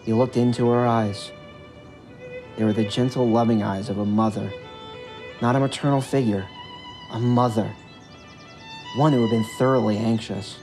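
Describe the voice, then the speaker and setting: A man's voice: medium pitch, steady tone, moderate pace, long pauses, darkish tone. A man reading a scripted story as its narrator, with music behind his voice.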